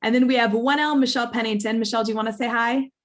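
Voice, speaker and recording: A woman's voice: on the high side, fairly even intonation, rapid-fire. A woman professor presenting at an online awards ceremony, speaking informally in an earnest, explanatory way. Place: online video call.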